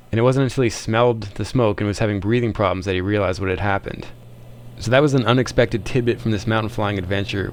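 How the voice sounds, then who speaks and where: A man's voice: on the low side, clear, resonant tone. A man hosting a video, narrating in a casual, conversational voice-over.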